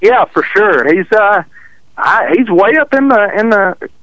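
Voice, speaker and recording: A man with a Texan country accent tells his stories in an easygoing, self-deprecating way. His voice sits a bit high for a man. It comes through a phone line with a dry, close sound. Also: animated, measured pace, fairly bright tone, no echo. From Texas. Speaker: drag racer guest. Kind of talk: casual interview chat. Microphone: phone call.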